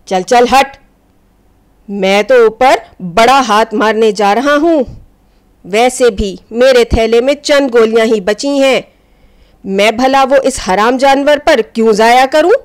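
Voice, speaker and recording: A woman's voice: a little high, smooth, lightly acted. A woman voicing an arrogant, irritable male hunter in a children's story, brusque and dismissive. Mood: irritated, boastful.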